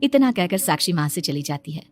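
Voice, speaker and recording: A woman's voice: lowish voice, very animated, brisk. A woman reading scripted story narration, telling a family tale as a narrator.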